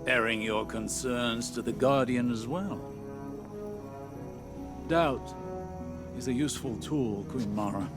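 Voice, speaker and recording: Reserved, careful male scholar, played by a man; precise speech over clear game music. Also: mid-range voice, slow, long pauses, close to an everyday voice. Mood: cautious. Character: wary male scholar-advisor, cautious and analytical.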